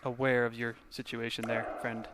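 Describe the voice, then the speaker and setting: A man's voice: medium pitch, monotone, moderate pace. A man giving casual video game commentary in a hesitant, rambling, wry way. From Canada. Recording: very noisy game sound behind commentary.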